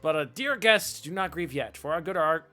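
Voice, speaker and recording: Theatrical game shopkeeper, played by a streamer: mid-pitched, animated, pompous, mock-polite, declaiming every flourish. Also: normal speed, faint music behind. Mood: grandiose, jovial. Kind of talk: reading video game dialogue aloud.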